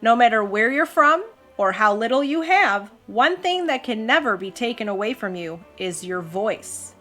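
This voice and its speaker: High-voiced woman reading a children's storybook. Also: moderate pace, soft background music. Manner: expressive, addresses child listeners with questions. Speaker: host, mother reading to her child. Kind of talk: read-aloud children's storytime with casual chat.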